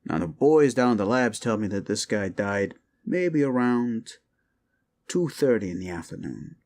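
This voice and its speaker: A man playing a rumpled, folksy police detective in a roleplay audio drama, musing and halting as he politely needles a suspect with feigned casualness. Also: on the low side, lively intonation, darkish tone. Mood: casually probing. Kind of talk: roleplay audio drama, interrogation monologue addressed to listener.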